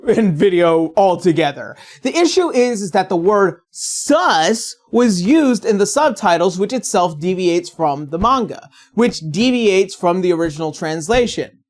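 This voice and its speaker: A woman delivering scripted, opinionated commentary as a video essayist, in a sarcastic, blunt and profane manner. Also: fairly high pitch.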